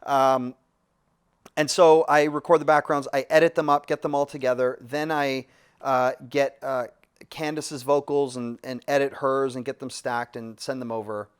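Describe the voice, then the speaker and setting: A man's voice: medium pitch, normal speed, smooth. A man, a music producer, casually telling a studio story with lots of fillers and restarts. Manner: relaxed, rambling with many fillers and restarts.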